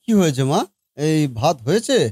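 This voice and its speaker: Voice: mid-range voice, lively intonation, moderate pace, a little mellow, breathy edge, dry recording. A reproachful young husband from a comedy cartoon as acted in a dub, recorded close and dry. He is an exasperated office-going son with a resigned way of scolding. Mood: puzzled. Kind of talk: Bengali comedy cartoon dialogue.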